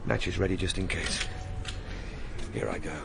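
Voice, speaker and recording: An actor playing an earnest Victorian time-travelling explorer in a radio drama. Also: male voice, low voice, little pitch movement, moderate pace. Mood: resolute. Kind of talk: radio drama acting, spoken log. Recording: clearly noisy with ambience.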